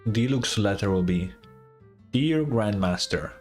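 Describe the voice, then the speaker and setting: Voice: sits low, lively intonation, a little slow, darkish tone. Casual streamer commenting over game music. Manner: informal, reactive, sweary.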